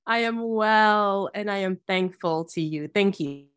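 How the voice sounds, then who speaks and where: A woman from Ghana, a Bible teacher, telling her story in an earnest, measured way in an interview. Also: medium pitch, a bit bright, clear, resonant tone, dry recording, clean studio sound. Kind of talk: interview, personal testimony.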